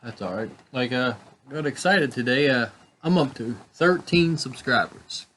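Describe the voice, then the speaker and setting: A man with a slightly raspy voice with a country vibe, chatting casually and musing with many fillers while reviewing cigars. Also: medium pitch, animated, measured pace, a bit bright, a little hiss or hum. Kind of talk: casual chat.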